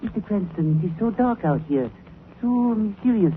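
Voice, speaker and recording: High-pitched, falsely polite radio villain. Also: male voice, lively intonation, moderate pace, phone-quality sound. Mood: ingratiating. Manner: stilted, clipped broken English, over-polite. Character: scheming, falsely polite foreign antiques-dealing villain. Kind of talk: 1940s radio drama acting. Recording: scratchy, band-limited 1940s radio recording.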